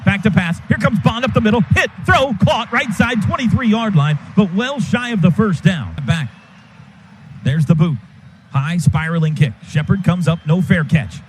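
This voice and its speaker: A man doing live radio play-by-play of a football game in clipped, vivid, excitable calls. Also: high voice, normal speed. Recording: radio broadcast over loud stadium noise. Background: stadium crowd noise.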